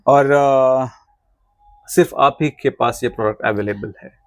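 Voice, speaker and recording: A man's voice: medium pitch, measured pace, dry recording. A man with an Indian accent, speaking as an enthusiastic vlogger in a casual product review chat that mixes two languages. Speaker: vlogger interviewing a shop owner about a product.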